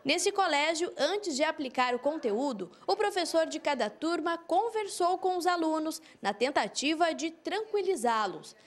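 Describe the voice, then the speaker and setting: High-voiced Brazilian female news reporter. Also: animated, moderate pace, few pauses. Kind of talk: scripted news narration.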